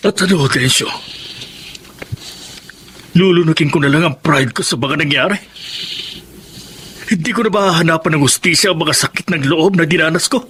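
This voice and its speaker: A man's voice: on the high side, normal speed, pauses often, a bit bright, a little hiss or hum, lightly acted. A man playing a hurt but resolute married father in a Filipino radio drama, earnest and heavy-hearted. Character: wounded, proud married father, resigned and resolute.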